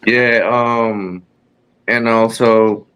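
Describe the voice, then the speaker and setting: A man from Rico, a guest wrestler chatting in an interview, halting with many fillers and restarts. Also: on the low side, level tone, fairly bright tone, clear, resonant tone. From Puerto Rico. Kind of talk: casual interview chat.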